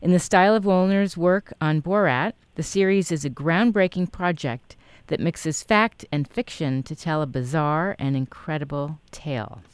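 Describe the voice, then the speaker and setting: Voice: medium pitch, normal speed, smooth, no echo. An interview host asking questions, giving encouraging replies and reading promotional copy for listeners. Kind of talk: interview chat.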